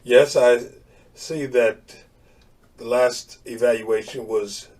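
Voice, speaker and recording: An actor playing a school principal in a training video, formal and reassuring, recorded from a distance. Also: male voice, medium pitch, fairly even intonation, takes it slowly, darkish tone, natural voice. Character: helpful, agreeable male school principal. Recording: distant mic.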